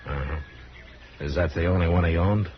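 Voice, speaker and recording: A man playing a very deep-voiced, laconic frontier lawman. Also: natural voice. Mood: reserved, probing. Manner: terse, measured, laconic questioning. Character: calm, grave frontier lawman questioning suspects. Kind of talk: 1950s radio western acting. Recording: muffled, noisy vintage radio recording.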